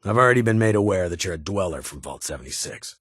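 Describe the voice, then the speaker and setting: A man playing a stern, guarded ghoul military officer in a video game, with a raspy voice and a clipped, formal delivery of dry authority. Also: sits low, animated, fast, fairly bright tone, no echo, heavily acted. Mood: measured, formal. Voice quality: raspy, gravelly. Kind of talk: video game character dialogue.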